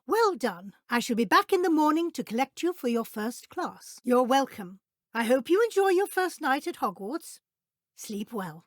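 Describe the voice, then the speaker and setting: Kindly but brisk British deputy headmistress of a wizard school, fantasy game. Formal diction, higher female register, close dry recording. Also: a bit bright, no room sound. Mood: welcoming. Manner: formal, crisp, measured schoolmistress phrasing. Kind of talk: scripted video game voice acting.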